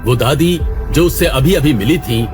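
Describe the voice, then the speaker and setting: A man's voice: medium pitch, unhurried, music in the background. A man narrating a dramatic scripted audio story.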